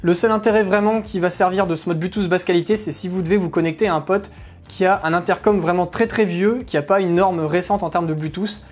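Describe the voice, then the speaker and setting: A woman explains a product test in an informal, chatty style. She talks at a brisk, rapid pace, with music playing softly behind her. Her delivery is that of a casual reviewer addressing viewers directly. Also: lowish voice. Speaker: motorcycle gear reviewer.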